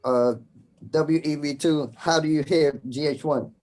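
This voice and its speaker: A man practicing formal emergency radio procedure, announcing scripted messages in a deliberate way as a trainee net controller. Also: a little high, steady tone, darkish tone. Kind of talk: scripted radio procedure drill with call signs.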